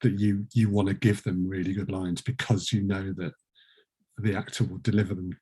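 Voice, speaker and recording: Guy hosting a casual interview, bit husky and low, jokey and informal. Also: level tone, normal speed, dark tone. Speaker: host and producer.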